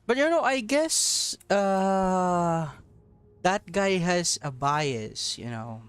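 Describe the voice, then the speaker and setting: A man's voice: high-pitched, animated, very slow, fairly bright tone, clear, resonant tone, faint music behind. A man from Southeast Asia giving rambling, casual gameplay commentary, laughing often and swearing offhandedly. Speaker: mobile game streamer.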